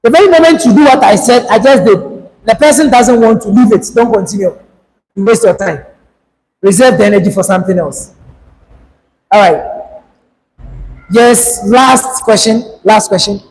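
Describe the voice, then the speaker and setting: Male trainer, very high and light voice, direct and urging, lecture hall reverb. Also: normal speed, long pauses, a little mellow. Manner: direct, coaching, urges the group to hurry. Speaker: public speaking trainer. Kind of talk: workshop lecture to students. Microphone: handheld microphone. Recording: long hall reverb, faint background noise.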